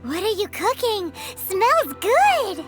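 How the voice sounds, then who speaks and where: A woman voicing a tiny, excitable, food-loving female fairy companion in a video game, with a squeaky childlike voice. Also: takes it slowly, soft background music, exaggerated voice. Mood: eager. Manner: refers to self in third person, exclamatory. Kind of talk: English video game character acting.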